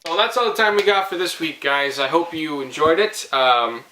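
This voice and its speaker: Young male vlogger, playful and rambling storyteller, forceful bright tone, roomy echo. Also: on the high side, moderate pace, a little hiss or hum. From Canada. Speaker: host of a storytelling video series. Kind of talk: casual comic storytelling to viewers.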